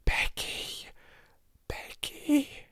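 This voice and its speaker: A woman's voice: drawn-out words, dry recording, clean studio sound. A woman voicing a young storybook girl, breathless and overjoyed with wonder, in panting, half-whispered exclamations. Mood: moved, urgent whisper. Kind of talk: storybook character voice in audiobook reading.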